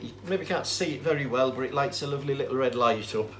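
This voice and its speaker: A man with a mid-pitched voice talks through a hands-on demonstration with faint noise behind him. He explains in an informal, matter-of-fact way, rambling a little and hesitating as he goes. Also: quick pace. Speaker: presenter demonstrating vintage tape recorders. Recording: clear steady background noise.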